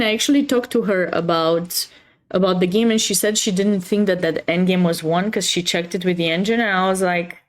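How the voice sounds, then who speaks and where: A woman with a Romanian accent hosting a chess livestream, chatting casually and expressively with viewers between bits of commentary. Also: on the high side.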